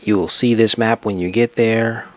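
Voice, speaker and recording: A low-pitched male travel vlogger talks over his own pictures at a desk in a room. His delivery is unscripted and reflective, wandering casually from one memory to the next. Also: steady tone, normal speed. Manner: rambling, reflective, reminiscing. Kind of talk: casual unscripted slideshow narration.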